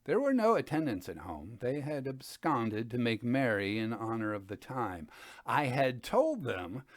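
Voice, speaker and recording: A man giving a dramatic reading of gothic fiction, with theatrical, deliberate phrasing. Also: mid-range voice, sing-song, dry recording, clean studio sound. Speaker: storyteller reading stories by request.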